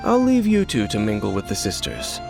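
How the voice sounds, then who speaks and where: A man voicing a calm, guarded, cynical male wanderer in a video game, measured and wry. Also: fairly low pitch, gravelly, heavily acted. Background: game music.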